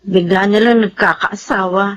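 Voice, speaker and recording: An actress playing a plain-spoken, concerned young woman in a radio drama, conversational and gently chiding. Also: mid-range voice, fairly even intonation, normal speed, lightly acted. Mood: doubtful. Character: worried, plain-spoken younger female companion.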